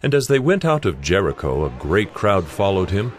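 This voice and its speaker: A man's voice: deep voice, big pitch swings, moderate pace, faint music behind. A man playing the solemn narrator of a Bible audio drama, giving a scripted, storytelling read. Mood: dramatic narrating.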